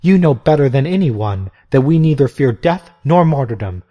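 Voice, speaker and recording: A man's voice: mid-range voice, lively intonation, normal speed, muffled recording, voice pushed up, natural voice. A man reading aloud, voicing a defiant elderly abbess who speaks with indignant scorn.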